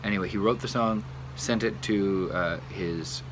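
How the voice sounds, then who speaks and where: Loud noisy backyard recording, guy in his 30s w a deep voice chatting casually, kinda halting. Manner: halting, pausing often mid-sentence. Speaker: church worship leader presenting hymn history. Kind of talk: casual informal talk. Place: outdoors in a sunny backyard by a wooden hutch. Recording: noisy outdoor home recording, steady hum. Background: loud hum and outdoor noise.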